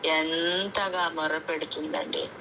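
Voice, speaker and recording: A woman's voice: low voice, steady tone, slow, pushed and bright. A woman preaching a sermon in a fervent, pleading, repetitive cadence, acting out pleas from scripture. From South India. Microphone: conference call. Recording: noisy conference call audio.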